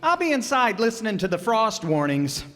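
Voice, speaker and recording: A man's voice: sits high, big pitch swings, moderate pace, a bit bright. A man playing a brazen, flippant, freeloading pal in a radio comedy re-creation. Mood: cheeky, brazen. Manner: cheeky, flippant. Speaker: radio player. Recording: reverberant hall, live stage performance.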